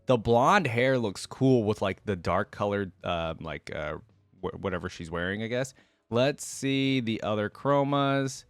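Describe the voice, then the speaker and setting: A man's voice: on the low side, expressive, unhurried, no echo. A man hosting a casual reaction video, commenting in slangy language and talking straight to his viewers. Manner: slangy, talks directly to viewers. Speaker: YouTube host.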